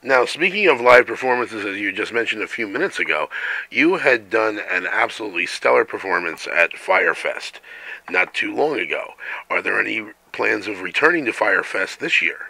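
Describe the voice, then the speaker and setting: A male radio interviewer with a gravelly, piercingly bright voice and a fairly low pitch. He asks measured, formal questions, recorded close and dry. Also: animated, dry recording, poor audio.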